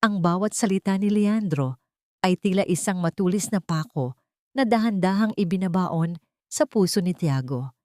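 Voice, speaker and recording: A woman's voice: fairly low pitch, normal speed, a little mellow, no room sound, clean studio sound. A woman narrating a scripted story in a dramatic style.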